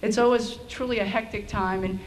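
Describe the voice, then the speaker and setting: A woman's voice: medium pitch, steady tone, a little fast. Noisy recording, middle-aged American woman speaking informally. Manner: conversational, self-deprecating, joking asides. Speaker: school administrator, commencement speaker. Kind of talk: informal commencement speech. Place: on stage at high school graduation. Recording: noisy event video with room ambience.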